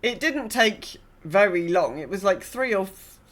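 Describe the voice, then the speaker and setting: A woman's voice: medium pitch, animated, a little slow. A woman co-hosting a podcast, chatting casually about gaming in an informal, loosely phrased way. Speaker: podcast co-host.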